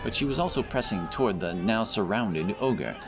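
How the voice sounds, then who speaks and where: A man's voice: fairly low pitch, lively intonation, normal speed. A man narrating a scripted fantasy audiobook as storyteller. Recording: narration over loud background music. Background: music, outdoor sound effects.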